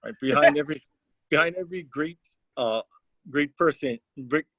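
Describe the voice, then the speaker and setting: A man interviewing business owners, chiming in with lively quick comments. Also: fairly high pitch, gravelly, no echo, rough recording. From Hawaii. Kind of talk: casual interview.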